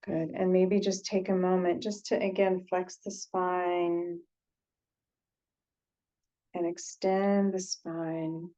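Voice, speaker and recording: A woman guides a meditation in a lowish, soft-edged, mellow voice. She speaks very slowly and gently, pausing often and repeating phrases as she goes. The sound is a little dull and lo-fi. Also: level tone. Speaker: meditation teacher.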